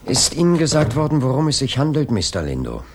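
An actor playing a politely persistent police inspector in a radio play, questioning insistently with drawn-out, swooping phrases and a creaky voice. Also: male voice, medium pitch, wildly swooping pitch, a little hiss or hum. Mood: businesslike. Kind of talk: 1980s German radio play acting.

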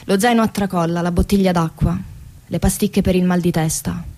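A woman's voice: lowish voice, steady tone, a little fast, darkish tone, lightly acted. Actress as a weary female factory worker, clipped phrases. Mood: weary, matter-of-fact. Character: weary female car factory assembly-line worker telling her story. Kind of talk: radio theatre monologue.

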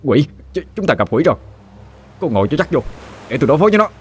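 A man's voice: a little high, lively intonation, voice pushed up. A man doing a brash stammering young guy. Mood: flustered. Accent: Southern Vietnamese. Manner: stammering, rapid, blustering. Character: brash young man, scrappy and hot-headed.

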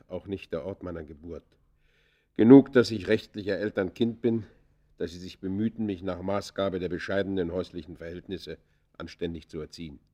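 An actor playing a penitent, brooding criminal in a classic radio play, speaking formal, solemn with halting stage delivery. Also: male voice, low-pitched, darkish tone, lightly acted. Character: penitent male criminal confessing his life, earnest and brooding. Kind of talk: classic German radio play acting, literary and declaimed.

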